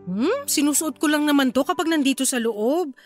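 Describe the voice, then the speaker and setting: A young woman running a grocery, her voice high and expressive. She is evasive and quick to defend herself, in radio drama style, with faint music behind her. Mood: placating, sweet. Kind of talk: Tagalog radio drama acting.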